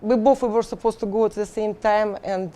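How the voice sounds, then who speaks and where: A middle-aged woman from Russia with a Russian accent, an engineering instructor answering interview questions haltingly with many fillers and restarts. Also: medium pitch, fairly even intonation, warm tone.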